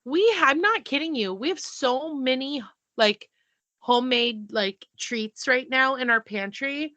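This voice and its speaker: A woman's voice: sits high, unhurried, bright, forceful tone, no echo, muffled recording. A woman co-hosting a podcast in casual chat, teasing and cracking playful quips. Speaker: podcast co-host.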